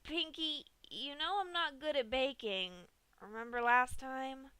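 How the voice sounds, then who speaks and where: A woman's voice: sits high, unhurried, pushed and bright, clean tone, no room sound. A woman voicing a brash, sporty young female pegasus pony, talking in a casual, slangy way. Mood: reluctant.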